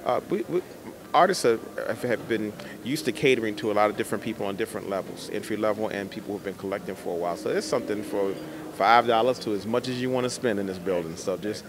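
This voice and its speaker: A man's voice: lowish voice, fast talker. A middle-aged man, an artist, answering interview questions conversationally into a handheld microphone. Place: indoor art expo in a convention center. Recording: busy exhibition hall crowd behind. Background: crowd noise.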